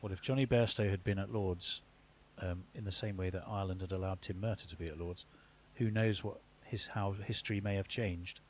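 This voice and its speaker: A man's voice: low-pitched, steady tone, brisk, darkish tone, slight background noise. A man presenting cricket radio, interviewing a guest in a hesitant way with many restarts. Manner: hesitant, stumbling over restarts. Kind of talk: conversational interview.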